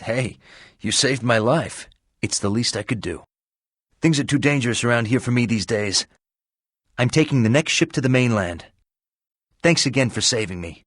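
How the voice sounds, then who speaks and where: An actor playing a grateful, uneasy male villager in a fantasy video game, with a husky voice. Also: medium pitch, lively intonation, moderate pace, long pauses, dry recording, clean studio sound, natural voice. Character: grateful, anxious male villager rescued from captivity.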